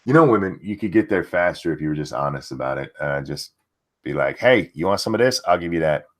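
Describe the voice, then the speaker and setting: Older man, sarcastic and crude, lively pitch. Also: quick pace. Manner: sarcastic, mocking, heavy swearing; reads chat and an article aloud. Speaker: livestream host. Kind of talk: crude reaction commentary.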